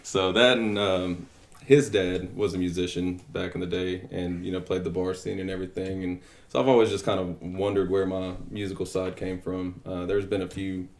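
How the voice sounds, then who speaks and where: Deep-voiced young Texan man, modest. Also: normal speed. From Texas. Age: 20s. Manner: relaxed and modest, many fillers, laughs easily. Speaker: guest musician. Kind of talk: casual interview chat.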